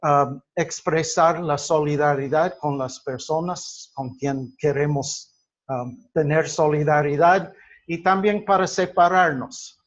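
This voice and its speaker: A middle-aged or older man from the United States, lecturing to a class in a halting, deliberate way with drawn-out pauses. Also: a little high. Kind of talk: explanatory class lecture with personal examples. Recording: dull lo-fi sound.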